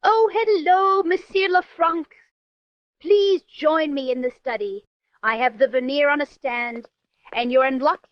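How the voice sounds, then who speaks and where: An actress playing a gracious, genteel society hostess in an old-time radio drama, polite and effusive. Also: female voice, high voice, unhurried, no echo, dull audio. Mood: gracious, delighted. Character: gracious, genteel wealthy hostess, easily shocked.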